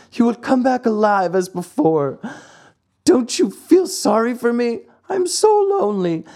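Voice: medium pitch, a little mellow, breathy edge, pitched above the performer's own voice. A narrator voicing a little wooden marionette boy in a storybook, plaintive and dramatically exclaiming.

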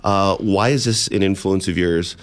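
Deep voiced guy hosting a radio show, laid back, lots of uhs. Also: moderate pace, clean tone. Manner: relaxed, with many "uh" fillers. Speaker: radio host. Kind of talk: casual radio show chat.

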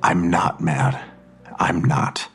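An actor playing a worried, protective father in an audio drama, husky and earnest, reassuring but insistent. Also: sits low, fairly even intonation, extremely slow, soft background music, exaggerated voice. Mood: firm, reassuring.

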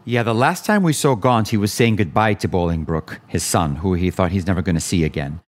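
A man's voice: low voice, lively intonation, a little fast. A male theater director explaining a scene casually.

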